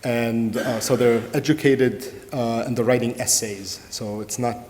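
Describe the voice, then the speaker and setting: A man's voice: mid-range voice, steady tone, a little slow, a little mellow, in a large hall, a little hiss or hum. A man leading a conference Q&A discussion as organizer, hesitant with many fillers and restarts. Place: conference room.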